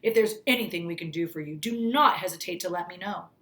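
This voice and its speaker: A woman's voice: lowish voice, fast talker, a bit bright, reverberant. A woman reading the part of an obsequious male hotel manager in a storybook, with polite, formal phrasing. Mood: fawning politeness.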